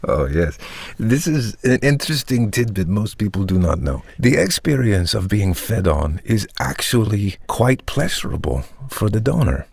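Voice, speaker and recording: An actor playing a charismatic, ambitious vampire tech entrepreneur, delivering a measured, pompous and persuasive monologue like a polished pitch. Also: male voice, gravelly, breathy edge, no echo, heavily acted. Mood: smug, instructive.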